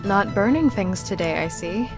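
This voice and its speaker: A woman voicing a young female necromancer in a visual novel, sincere and gently wry, with plain, thoughtful delivery. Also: medium pitch, animated, normal speed, music in the background, close to an everyday voice. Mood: wry, curious. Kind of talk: visual novel character dialogue.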